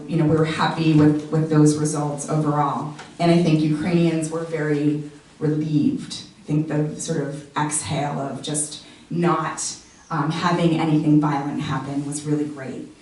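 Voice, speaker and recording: A woman's voice: deep voice, a little mellow. An American woman giving an informal presentation to an audience, talking conversationally with frequent "um" fillers. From United States. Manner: conversational, many "um" fillers, explanatory. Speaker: guest speaker. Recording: echoey room, distant mic.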